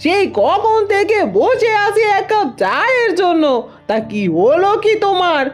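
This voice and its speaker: A woman voicing a bossy middle-aged village mother-in-law in a cartoon, sharp-tongued and complaining. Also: extremely high pitch, very animated, unhurried, faint music behind, full character voice. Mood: impatient, complaining. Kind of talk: cartoon voice acting.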